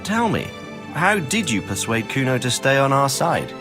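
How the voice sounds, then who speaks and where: Man playing an animated, authoritative wry lord. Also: medium pitch, normal speed, music in the background, close to an everyday voice. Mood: wry, inquiring. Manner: commanding, dry. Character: authoritative, wry nobleman lord, gracious toward his retainer. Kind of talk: dramatic video game dialogue.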